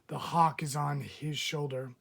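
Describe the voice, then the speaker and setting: A man reading a picture book aloud as a teacher would, expressively, with deliberate dramatic phrasing and frequent pauses. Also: a little high, fairly even intonation, gravelly, slightly rough. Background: background music.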